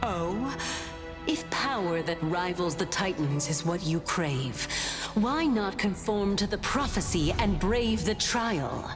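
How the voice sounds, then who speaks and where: A woman's voice: fairly low pitch, lively intonation, slow, music in the background. An actress playing a poised, enigmatic and lofty woman in a video game, speaking in a cool, formal, knowing way. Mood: knowing, probing. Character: poised, enigmatic woman questioning a human loftily. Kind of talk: video game story acting.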